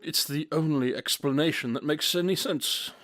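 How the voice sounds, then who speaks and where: A man performs a brainy consulting detective for a modern radio play. He talks in a deliberate, formal, self-assured way, with dry wit and a fairly high male voice. The recording is close and dry. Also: fairly bright tone, no echo, lightly acted. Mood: probing. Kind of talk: modern audio drama acting.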